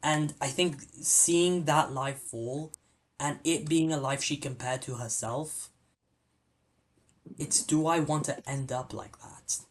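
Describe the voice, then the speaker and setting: A man hosting a film podcast, talking casually in a rambling, halting way with many restarts. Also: fairly high pitch. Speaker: podcast host. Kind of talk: casual film review chat.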